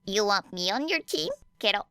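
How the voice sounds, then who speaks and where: Woman doing a calm, matter-of-fact teenage girl. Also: mid-range voice, moderate pace, gravelly, clear, resonant tone, dry recording. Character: unreadable, pensive teenage girl, calm and frank.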